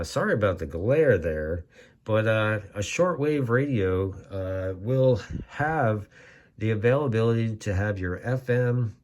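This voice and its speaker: A man giving a casual, plain-spoken explainer as a video host, talking in a halting, rambling way. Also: deep voice. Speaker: amateur radio video host. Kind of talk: casual informal explainer to camera.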